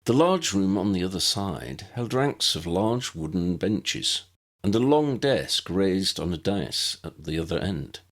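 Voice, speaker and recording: Male audiobook narrator, scripted storytelling, low pitch, creaky gravelly grain, expressive delivery. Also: measured pace. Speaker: author narrating own fan fiction audiobook.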